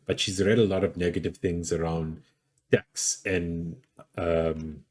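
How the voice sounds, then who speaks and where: A man with a deep, gruff voice giving casual advice on content creation in a rambling way, full of restarts. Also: fairly even intonation, takes it slowly, darkish tone. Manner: rambling, many restarts and fillers. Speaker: podcast host.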